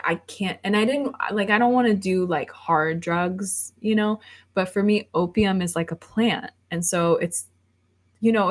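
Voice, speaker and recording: A woman in her thirties, rambling casually on a livestream and reading viewer comments aloud before answering them. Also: fairly low pitch. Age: 30s. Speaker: livestream host.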